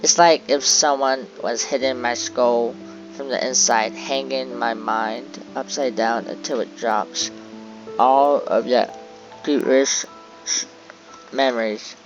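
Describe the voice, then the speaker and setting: A man reading a visual novel aloud as dramatic first-person narration, halting and stumbling a little over the text. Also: medium pitch, fairly even intonation. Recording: dull lo-fi audio with music behind.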